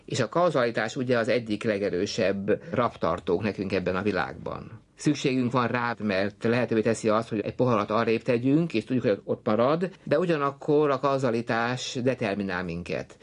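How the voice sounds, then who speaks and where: A man's voice: mid-range voice, hardly any pauses. A man speaking as a researcher in thoughtful, articulate, reflective philosophical talk on an archive recording. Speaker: social researcher.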